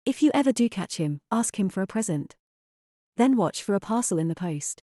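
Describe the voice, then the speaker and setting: A woman acts as a gentle, composed young English mother in an audio drama. Her voice is mellow and mid-pitched, with measured delivery and a very dry recording. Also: expressive, no echo, natural voice. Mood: gentle reading. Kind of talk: audio drama acting, reading aloud.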